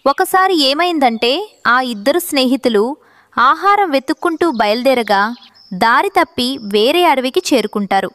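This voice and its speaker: A woman's voice: sits high, expressive, normal speed, a bit bright, dry recording. A woman reading scripted narration for a children's story.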